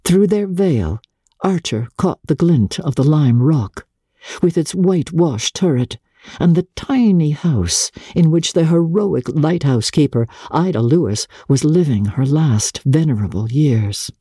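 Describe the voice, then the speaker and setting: A woman narrating classic literature in a measured, theatrical way, with deliberate pauses between phrases. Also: sits low, animated, dark tone, slightly rough, no echo, clean studio sound. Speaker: narrator, audiobook reader.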